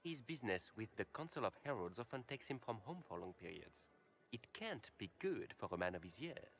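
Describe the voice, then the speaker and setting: An actor playing a refined, world-weary aristocratic courtier in a fantasy video game. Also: male voice, on the low side, sing-song, fairly quick. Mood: jaded, polite. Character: jaded, genteel aristocratic male courtier. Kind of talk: dramatic video game acting.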